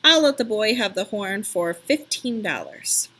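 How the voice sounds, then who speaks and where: A woman's voice: medium pitch, big pitch swings, measured pace, bright, forceful tone, breathy edge, exaggerated voice. A woman voicing a seasoned, kindly pawn shop man from a storybook, with an emphatic, folksy, persuasive way of talking. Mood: kindly offer. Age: middle-aged or older.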